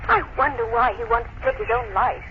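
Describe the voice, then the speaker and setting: A woman's voice: a little high, sing-song, fairly quick. A woman acting a refined, inquisitive lady companion in an old radio drama, polished and genteel. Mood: troubled. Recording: dull, hissy old radio recording.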